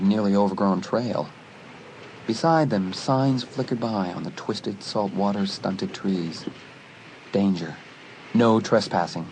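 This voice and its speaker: Low-voiced man narrating a horror audiobook. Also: a little hiss or hum, low-quality recording. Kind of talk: dramatised horror story narration. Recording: loud music behind. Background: loud music.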